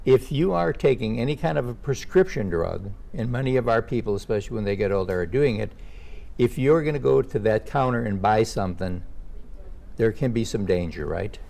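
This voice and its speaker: Older male host, gravelly, conversational, steers a health chat with questions. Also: fairly low pitch, animated, a little fast, dark tone. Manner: chatty, leading questions with personal asides. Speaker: show host. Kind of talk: casual health interview.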